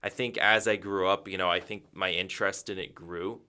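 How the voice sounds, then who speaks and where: Young male sports broadcaster from Massachusetts, very low, bright and crisp voice, measured answers. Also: steady tone. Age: 20s. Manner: measured and thoughtful, frequent "um" and "you know". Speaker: radio play-by-play broadcaster. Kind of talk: interview answers.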